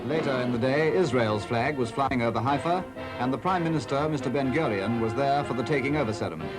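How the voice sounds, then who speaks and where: A man narrates an old newsreel with a formal, declamatory delivery at a mid pitch. Loud orchestral music plays under his voice throughout. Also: quick pace.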